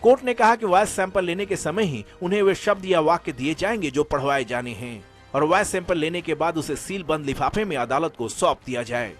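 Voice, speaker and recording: A man's voice: on the high side, fairly quick. A man narrating a scripted news report as a reporter. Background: background music.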